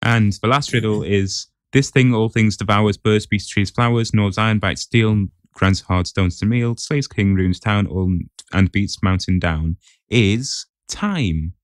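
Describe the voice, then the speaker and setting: A man acts as a casual podcast quizmaster. His voice sits a little low, and the sound is very dry and free of room echo. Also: a little slow. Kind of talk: casual quiz hosting.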